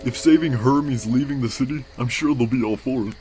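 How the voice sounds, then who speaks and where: A man's voice: mid-range voice, fairly quick, a little mellow, faint music behind, lightly acted. A man voicing an easygoing, wry skeleton older brother with a brooding side, in a fan comic dub. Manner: casual, wry. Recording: echoey, distant mic.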